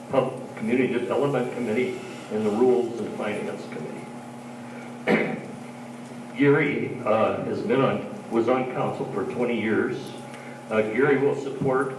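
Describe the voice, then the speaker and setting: A man speaking loudly and folksily at a candidates night, standing in for a council candidate. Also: medium pitch. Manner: loud, folksy, joking. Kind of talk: public candidates-night speech. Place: large hall, heard from far off. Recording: echoey hall from far off, noisy.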